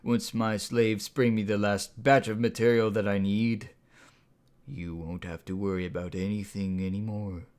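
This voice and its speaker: A man voicing a calm, menacing would-be king in an audiobook, speaking slowly and softly with deliberate breathy menace. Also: fairly low pitch, natural voice. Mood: menacing, controlled. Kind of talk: audiobook character voice.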